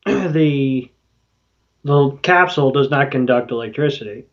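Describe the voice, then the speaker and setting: A man's voice: medium pitch, slow, dull audio. A man co-hosting a podcast, casually retelling a show in a folksy, mildly profane way. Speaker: podcast co-host. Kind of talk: casual episode recap chat.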